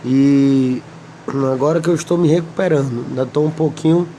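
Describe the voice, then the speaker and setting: A man with a Brazilian Portuguese accent, slightly hoarse, teaching a Bible lesson and reading scripture slowly with many pauses. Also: a little high, warm tone, slight background noise, low-quality recording. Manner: slow, deliberate, pausing often, asks "amém?".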